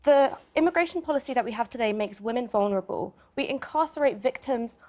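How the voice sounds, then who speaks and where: A woman debating on a studio panel, arguing her points earnestly and deliberately. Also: a little high, expressive, darkish tone. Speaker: panel guest.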